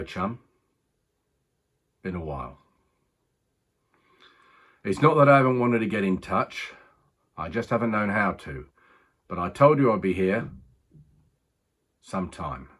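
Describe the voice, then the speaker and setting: An actor playing a rueful working-class racing man, husky, confiding a strange story in a halting, colloquial monologue. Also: deep voice, fairly bright tone, heavily acted. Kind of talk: dramatic monologue, conversational storytelling.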